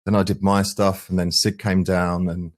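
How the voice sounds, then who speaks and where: A man's voice: low-pitched, little pitch movement, moderate pace. A man answering interview questions conversationally as a band's singer and guitarist. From England. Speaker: band frontman, singer and guitarist.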